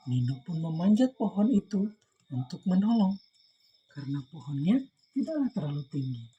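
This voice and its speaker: A woman's voice: on the low side, mellow, a little hiss or hum, rough recording. A woman reading a children's story aloud expressively, engaging young listeners.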